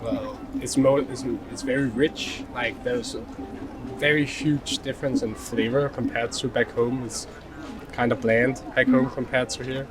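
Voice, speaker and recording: A man's voice: mid-range voice, steady tone, unhurried. A young man from Denmark answering street interview questions casually, searching for words. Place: busy city street outdoors. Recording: street crowd noise, distant from mic.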